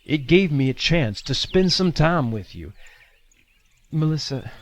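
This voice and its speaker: A man's voice: a little high, animated, a little slow. An actor playing a lovesick, charming married man in an audio drama, earnest, pleading and melodramatic.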